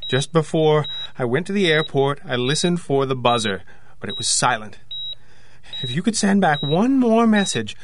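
A man's voice: a little high, animated, normal speed, slight background noise. An actor playing an intense, guilt-ridden man confessing to murder in an audio drama. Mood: grave, urgent.

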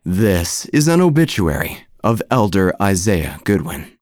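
A man's voice: sits low, sing-song, measured pace, a little mellow, no room sound. A man playing a droll, gossipy small-town church narrator, telling a scripted comic story with wry, theatrical phrasing. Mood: droll, confiding. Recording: music under the voice.

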